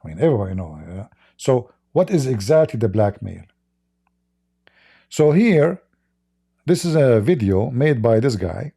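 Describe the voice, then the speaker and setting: A man's voice: mid-range voice, big pitch swings, a little slow, creaky, pauses often, warm tone, slightly rough. A man whose first language is not English, hosting a livestream and mocking video clips in broken English, laughing often. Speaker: livestream host.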